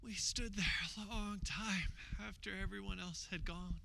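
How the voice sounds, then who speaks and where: A man playing a grieving disciple in a radio theater, recounting a crucifixion in a halting, emotional way. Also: sits high, fairly even intonation, vocal fry, pushed and bright, slight background noise, heavily acted. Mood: somber grief. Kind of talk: dramatic radio theater monologue.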